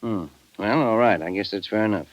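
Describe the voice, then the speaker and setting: An actor playing a cautious, formal American relief office chief in an old-time radio drama. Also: male voice, fairly low pitch, close to an everyday voice. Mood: guarded. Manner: measured, guarded, official. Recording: muffled old radio recording.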